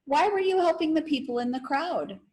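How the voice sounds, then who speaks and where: A woman's voice: sits high, expressive, moderate pace, a little mellow, pitched above the performer's own voice. Storybook boy, read by a woman: wary, self-effacing, plain-spoken, a touch awkward. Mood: puzzled. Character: guarded, modest, doubtful young boy.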